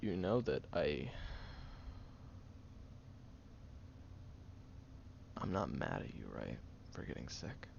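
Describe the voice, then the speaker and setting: A man playing a prickly, guarded young loner who grudgingly cares, in an intimate one-sided audio roleplay, sardonic and hesitant with breathy murmurs. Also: extremely low pitch, very animated, normal speed, darkish tone, heavily acted. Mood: gruff, teasing. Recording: muffled, lo-fi, noticeable background hiss.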